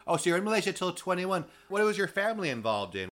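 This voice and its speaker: A man's voice: high-pitched, big pitch swings, fast talker, a bit bright. A man interviewing a guest in a conversational way, asking curious, probing questions with many hedging phrases.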